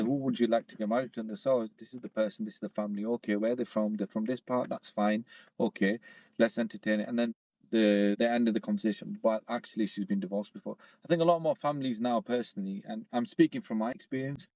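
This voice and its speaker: Fast-talking man on a podcast. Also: mid-range voice, no room sound, rough recording. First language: Urdu. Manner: quick, animated, acts out quoted voices. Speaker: podcast host. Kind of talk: casual podcast discussion.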